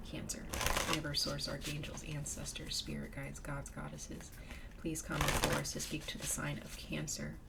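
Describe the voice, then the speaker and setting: Woman, low pitch, slightly nasal, getting over a cold, chatty tarot reader, audible background noise. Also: vocal fry. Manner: chatty, drops to a whisper in invocations. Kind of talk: casual tarot reading.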